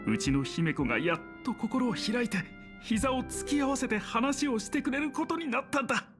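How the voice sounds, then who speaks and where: A man's voice: very high-pitched, animated, fast talker, a bit bright, soft background music. An actor playing a talkative, over-the-top middle-aged father and proud scholar in a game. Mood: gleeful, smug. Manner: over-the-top, chatty, self-assured. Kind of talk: Japanese game voice acting.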